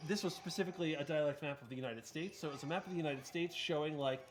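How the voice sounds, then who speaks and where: A man's voice: on the high side, very fast. A man co-hosting a charity gaming stream, telling a long story in an easy, explaining way. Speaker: marathon co-host. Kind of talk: casual chat, telling a story.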